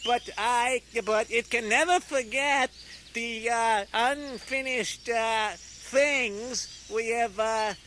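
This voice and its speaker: A man playing a doddering, forgetful Abraham Lincoln in comic radio theater, giving a halting, flustered speech full of throat-clearing. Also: extremely high pitch, sharp tone, a little hiss or hum, full character voice.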